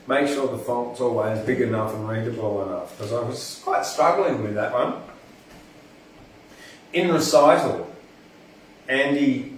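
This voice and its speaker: An Australian man hosting an informal poetry reading, reciting verse and rambling through chatty asides as he thinks aloud. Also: medium pitch. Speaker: poetry podcast host and reader. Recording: echoey, distant mic, faint background noise.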